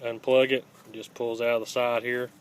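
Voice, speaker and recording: Flat-toned man, halting how-to talk. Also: mid-range voice. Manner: halting, plainspoken, unscripted. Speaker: host of a scrapping how-to channel. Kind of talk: casual hands-on how-to demonstration. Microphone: handheld phone, filming one-handed. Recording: home phone video with faint background noise.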